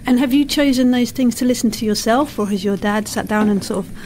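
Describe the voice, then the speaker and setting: A woman's voice: fairly high pitch, a little fast, a little mellow, slight background noise, low-quality recording. A woman interviewing children in a casual, enthusiastic and encouraging way for a podcast.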